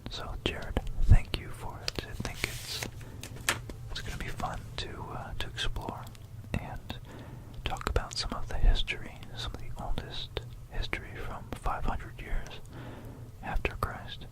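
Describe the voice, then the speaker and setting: Slow, breathy whispering ASMR host. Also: rough recording. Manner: hushed, unhurried, conspiratorial. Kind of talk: whispered ASMR, educational narration. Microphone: close stereo binaural microphone.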